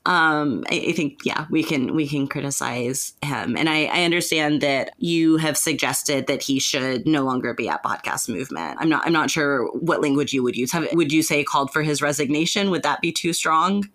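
A woman's voice: sits low, moderate pace, few pauses, a bit bright. A woman hosting a casual podcast discussion, giving opinions in an earnest, deliberating way. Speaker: podcast host.

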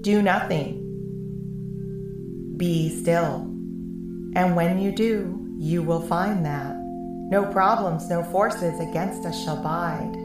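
A woman's voice: lowish voice, takes it slowly, long pauses, over loud music. A woman hosting and narrating a podcast for children in an encouraging, presenterly manner. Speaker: podcast host and storyteller.

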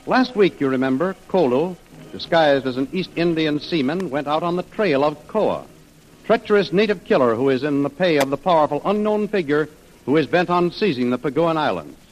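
A man's voice: a little high, lively intonation, moderate pace, low-quality recording. A man with an American accent narrating an adventure serial in dramatic, declamatory 1930s radio announcer style. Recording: old radio recording, music behind.

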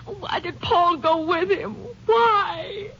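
An actress playing a distraught, pleading young pregnant wife in a 1950s radio drama. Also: female voice, extremely high pitch, slow, fairly bright tone, muffled recording, full character voice. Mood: sobbing. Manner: pleading, tearful. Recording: old band-limited radio recording with hiss.

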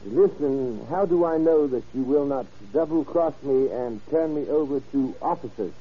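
An actor playing a shrewd, impatient male drug buyer in an old radio crime drama, speaking in formal, deliberate, clipped phrases. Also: mid-range voice, breathy edge, natural voice. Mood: guarded, satisfied. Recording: muffled old-time radio recording.

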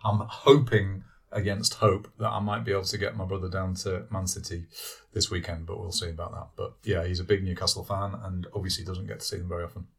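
A man, a senior writer on a podcast, talking in a warm, reflective casual way. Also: low-pitched, level tone, a little fast, creaky, slightly rough. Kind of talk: casual chat.

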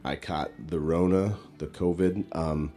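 A low-voiced man with a mellow, dark tone sounds a little congested and stuffy. He speaks in a relaxed, conversational way as the host of his own podcast. His delivery is easygoing and informal. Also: unhurried, soft background music. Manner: relaxed, conversational, loose fillers. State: congested, recovering from illness. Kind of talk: casual podcast intro and sponsor read.

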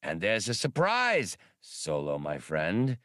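A man reading aloud and voicing an outgoing female alien primate wheeler-dealer in a high, lilting put-on voice. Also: wildly swooping pitch, slow pace, fairly bright tone, dry recording, studio quality, heavily acted. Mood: sly.

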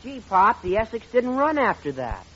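Casting: earnest, self-reliant American boy, high animated voice, polite, vintage radio comedy, muffled recording. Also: normal speed, slight background noise. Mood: firm, polite. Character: polite but independent-minded young son. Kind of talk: old-time radio sitcom acting.

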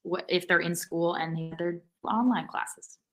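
A woman's voice: on the low side, steady tone, normal speed. An American woman co-hosting a casual sports podcast, with a wry, self-deprecating way of talking. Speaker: podcast co-host.

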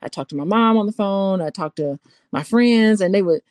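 A woman's voice: medium pitch, expressive, moderate pace, darkish tone, no echo, clean studio sound. A woman co-hosting a podcast, talking casually, teasing and often laughing. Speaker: podcast co-host. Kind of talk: casual conversation.

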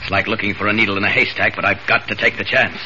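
Guy acting a calm determined hero reporter, old radio serial, dull noisy recording. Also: fairly low pitch, quick pace, natural voice. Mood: resolute. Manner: steady, reassuring, resolute. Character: newspaper reporter who is secretly a caped superhero.

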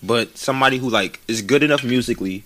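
A man in his late twenties speaks in a relaxed, slangy way, swearing freely and circling back over his words as he works through a point. His voice sits at an ordinary pitch for a man. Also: fast talker, slight background noise. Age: 20s. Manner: slangy, profane, repeats himself while thinking. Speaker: podcast co-host, aspiring rapper. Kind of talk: casual chat.

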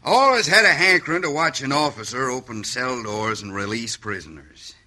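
An actor playing a cruel, sardonic Old West bandit boss in a radio western, with a folksy drawl and an American Western accent. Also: male voice, mid-range voice, lively intonation, normal speed, bright, forceful tone. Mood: mocking menace. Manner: drawling mock-folksy cowboy talk, mockingly innocent. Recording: vintage radio recording, faint hiss.

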